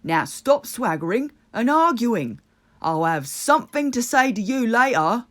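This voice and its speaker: A woman's voice: a little high, big pitch swings, unhurried, a bit bright, voice pushed up. A woman voicing a stern, practical, bossy male water rat from a children's storybook, firm and emphatic. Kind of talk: children's storybook character reading.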